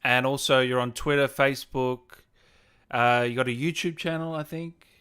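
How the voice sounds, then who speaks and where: Bright-voiced man hosting a livestream. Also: medium pitch, fairly even intonation, moderate pace, clean tone. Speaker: livestream host, interviewer. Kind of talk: casual livestream chat.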